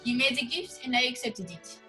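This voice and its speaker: A woman's voice: high voice, expressive, a little fast, pushed and bright, faint music behind. A woman playing a haughty, flirtatious foreign society madame in a theatrical radio play. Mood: indignant. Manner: theatrical, haughty, hesitant searching for English words.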